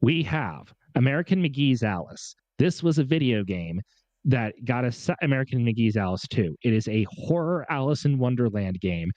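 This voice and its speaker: A man co-hosting a casual chat, talking excitedly and emphatically, repeating himself, a bit congested from a cold. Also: medium pitch, normal speed, no echo, highs cut off. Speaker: podcast co-host. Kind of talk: animated casual chat about pop culture.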